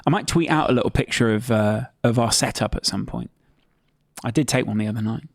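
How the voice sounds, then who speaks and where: A man's voice: medium pitch, fairly quick, gravelly, dry recording, studio quality. A man hosting a casual live football watchalong, teasing his co-host in a sarcastic way. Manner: teasing, sarcastic, deadpan ribbing. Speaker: host reading the live chat.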